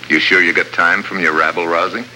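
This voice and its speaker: A hard-boiled American secret agent in a 1950s radio adventure. His voice sits very low with a hard, biting edge. He talks in wry, clipped wisecracks, over faint noise on an old recording. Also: flat delivery, fairly quick, pushed and bright, rough recording. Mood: sardonic.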